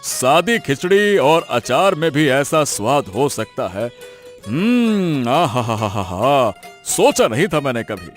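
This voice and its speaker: A man's voice: medium pitch, big pitch swings, slow. A man playing a blustery, fair-minded village big man in a cartoon story, emphatic and given to repeating himself. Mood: delighted. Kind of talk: scripted cartoon story acting. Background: music.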